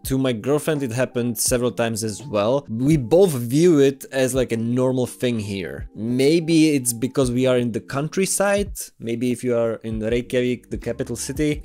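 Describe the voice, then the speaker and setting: A man's voice: medium pitch, darkish tone. A man from the Republic, chatting casually as he answers viewer comments and laughs along. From Czech Republic. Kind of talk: casual Q&A chat.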